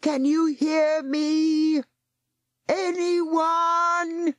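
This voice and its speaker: A woman's voice: on the low side, very animated, unhurried, mellow, husky, no echo, heavily acted. A woman voicing an earnest, brave young boy who speaks urgently. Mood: shouting. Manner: urgent, breathless pleading.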